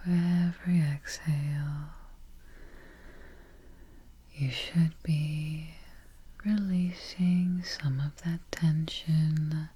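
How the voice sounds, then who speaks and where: A woman whispering softly and breathily in a gentle, soothing manner, guiding a sleep relaxation as an ASMR host up close to the microphone. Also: low voice, fairly even intonation, slightly rough. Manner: slow, gentle, soothing, repeats soft check-ins.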